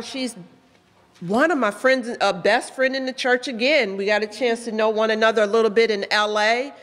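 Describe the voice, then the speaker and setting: A woman's voice: on the high side, fairly bright tone. Woman introducing a speaker in a hall. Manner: affectionate, slightly halting. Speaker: ministry leader introducing the speaker. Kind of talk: warm introduction. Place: large hall, indoors. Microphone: stand mic at podium. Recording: reverberant hall.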